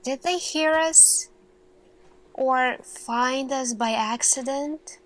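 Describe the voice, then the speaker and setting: Lady doing asmr scifi roleplay as a thoughtful scientist, really really slow, crisp bright voice, lots of pauses. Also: high-pitched, rough recording. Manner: slow, deliberate, pausing, questioning delivery. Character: earnest, thoughtful female lead scientist addressing her team.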